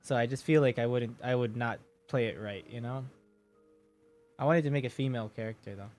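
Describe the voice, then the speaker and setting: A man with a deep voice chatting casually with his stream viewers, joking and reading their messages aloud. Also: a little fast, a little mellow, soft background music.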